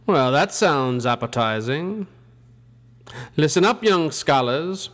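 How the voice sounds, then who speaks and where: A man playing a ghoulish, gleefully punning college dean who hosts a campy horror show with theatrical, drawn-out delivery. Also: on the high side, lively intonation. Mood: gleefully macabre.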